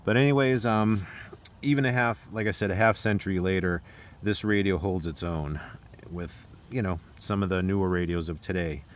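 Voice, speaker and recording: An American man casually presenting a demonstration as a hobbyist, with a halting, unscripted delivery. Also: sits low.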